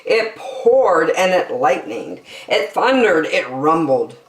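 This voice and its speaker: A woman's voice: deep voice, sing-song, slow, echoey room. A woman storyteller reading a children's picture book expressively.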